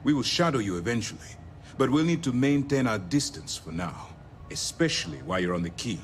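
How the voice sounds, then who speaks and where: A man's voice: medium pitch, expressive, brisk, slight background noise, close to an everyday voice. A man playing a stern, formal space navy commander giving orders in a scripted video game. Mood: composed, strategic. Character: stern, authoritative male space navy commander briefing an agent.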